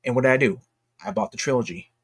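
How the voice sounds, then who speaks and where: A man with a medium-pitched voice speaks in a casual, opinionated streaming style. His delivery is blunt and emphatic, circling back to repeat phrases as he goes. The sound is close and dry, with hardly any room in it. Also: fast talker, breathy edge, dry recording. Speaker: streamer and commentator. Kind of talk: casual opinionated livestream commentary.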